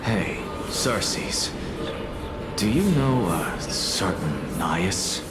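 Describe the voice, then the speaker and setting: A man's voice: low voice, expressive, extremely slow, heavily acted. An actor voicing a dry, curt, skeptical male scholar near death in a video game. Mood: stunned, breathy. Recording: echoing voice under loud music.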